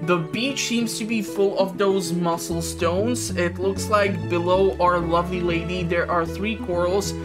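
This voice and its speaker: Low-voiced woman narrating her gameplay. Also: steady tone, a little slow, hardly any pauses, over music. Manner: rambling, stumbles and repeats words, joking asides. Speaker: gameplay commentator. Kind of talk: casual let's-play commentary. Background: game soundtrack.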